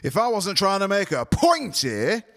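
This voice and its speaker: A man voicing a gruff, foul-mouthed powerful wizard with a thick accent, sarcastic and swearing. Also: a little high, lively intonation, brisk, pitched above the performer's own voice. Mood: ranting. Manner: sarcastic, swearing, loud ranting.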